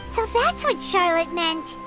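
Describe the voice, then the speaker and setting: Very high, squeaky little fairy companion. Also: female voice, very animated, slow pace, loud music behind the voice, full character voice. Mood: curious. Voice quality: squeaky, childlike. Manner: speaks of herself in third person. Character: tiny chirpy floating fairy companion, childlike, eager. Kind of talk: English video game voice acting.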